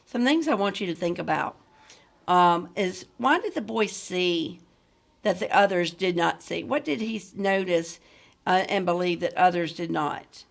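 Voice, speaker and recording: A woman's voice: fairly low pitch, unhurried, creaky. A woman teacher reading a book aloud to students, speaking directly to them as if leading a discussion. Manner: addresses listeners directly with questions. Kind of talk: read-aloud lesson with discussion questions.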